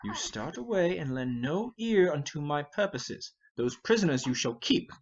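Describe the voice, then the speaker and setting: A man playing a measured, scheming older noble uncle in a formal, deliberate Shakespeare reading. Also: on the high side, very animated, lo-fi sound. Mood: exasperated. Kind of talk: dramatic reading of a Shakespeare play.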